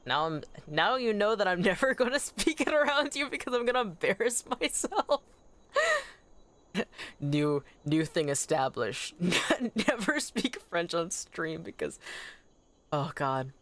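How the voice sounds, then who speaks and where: A game streamer whose native language is Anishinaabemowin, chatting casually and excitably on a livestream, rambling and correcting themselves as they go. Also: mid-range voice, unhurried. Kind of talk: casual livestream chat while gaming.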